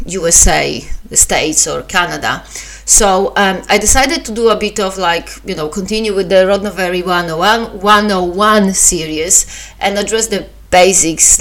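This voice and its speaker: Woman, Polish accent, solo podcast host; slow, halting, self-deprecating talk. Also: lowish voice. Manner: hesitant, many fillers and restarts, self-deprecating.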